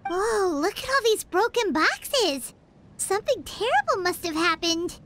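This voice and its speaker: A woman voicing a tiny, excitable childlike companion in a video game, squeaky and exclamatory. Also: measured pace, slight background noise, exaggerated voice. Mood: curious, alarmed. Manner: exclamatory, speaks of herself by name. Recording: background music under the voice.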